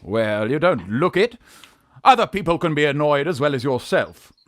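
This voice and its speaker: Farce radio drama: young man posing as a genteel aunt, high, very animated, archly coy, dry recording. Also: normal speed, dry recording. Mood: haughty. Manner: flustered, haughty, archly coy. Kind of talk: Victorian farce radio acting.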